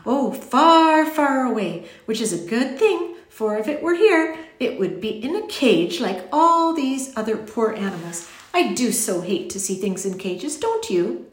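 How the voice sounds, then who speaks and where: Woman voicing a whimsical, swooping little gentleman, echoey. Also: on the high side, very animated, unhurried, pitched above the performer's own voice. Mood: earnest, courteous. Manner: exclamatory, genteel, formal phrasing. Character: whimsical, courteous little old-fashioned gentleman stranger. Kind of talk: audiobook character voice.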